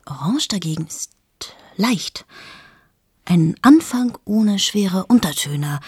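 An actress playing a cool, self-absorbed journalist in her late thirties in an intimate radio play monologue, speaking in a hushed, deliberate way that often drops towards a whisper. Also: lowish voice, slightly rough, no echo, studio quality. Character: 38-year-old female journalist, cool, amoral, confessional and self-absorbed.

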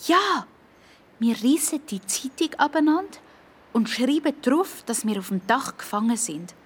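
A woman's voice: sits high, expressive, measured pace, husky, pitched above the performer's own voice, exaggerated voice. A woman voicing eager, exclaiming young children, adventurous friends in a children's audio story.

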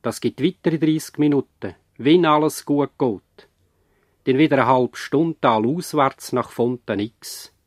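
A man narrating a scripted radio drama, measured and reflective, with a Swiss German accent. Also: medium pitch, dry recording, studio quality, natural voice. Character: reflective male narrator of a country-doctor story.

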